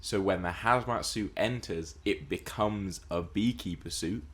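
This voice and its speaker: A man's voice: sits low, measured pace. A man co-hosting a casual film and TV discussion podcast, talking in an explanatory, emphatic way. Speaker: podcast co-host.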